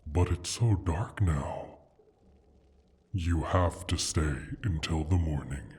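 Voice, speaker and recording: A man voicing a proud, sly male dragon in an ASMR fantasy roleplay, speaking in a deliberate, formal, teasing way with a husky, breathy rumble. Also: darkish tone. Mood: coaxing. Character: injured male dragon, proud, sly, possessive of his hoard.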